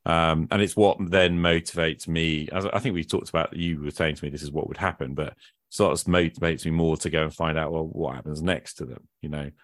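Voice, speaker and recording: A man's voice: rumbling low, steady tone, a little fast, no room sound. A man speaking as a podcast host in casual, reflective conversation, thinking aloud and often qualifying himself.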